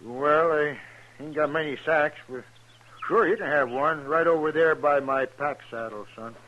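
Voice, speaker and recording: Man acting a kindly old frontier hunter, highish voice. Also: close to an everyday voice. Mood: welcoming. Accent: American Western. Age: older. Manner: genial, unhurried, folksy. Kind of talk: 1950s radio western acting. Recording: muffled, hissy old radio recording.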